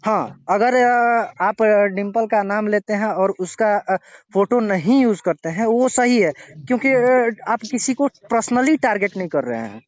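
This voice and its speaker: A man arguing haltingly as he questions someone in a confrontational phone interview, often cutting in to press his point. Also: high voice, expressive, no echo. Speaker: interviewer questioning a singer over a phone call. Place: phone call recording. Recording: dull lo-fi recorded phone call.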